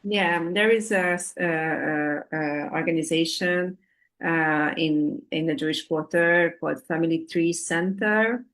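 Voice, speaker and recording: Slow halting woman. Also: low-pitched, a bit bright. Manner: slow and halting, frequent ums and uhs. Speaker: tour guide answering audience questions. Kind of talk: hesitant Q&A answers, many fillers.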